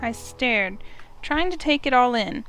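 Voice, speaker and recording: A woman's voice: on the high side, expressive, a little slow, a bit bright, soft background music, low-quality recording. A woman narrating a visual novel in first person, emotive and urgent.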